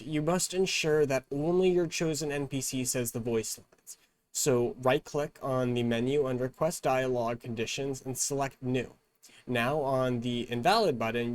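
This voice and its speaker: A man presenting a step-by-step software tutorial in an instructional, measured manner. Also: medium pitch, dry recording.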